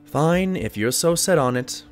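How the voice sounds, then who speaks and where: A man voicing a genial doctor pony, a detective's companion, narrating in an expressive, wry storytelling manner. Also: a little high, a little slow. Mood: peeved. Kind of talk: storybook-like first-person narration in audio drama. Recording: music bed behind the voice. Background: background music.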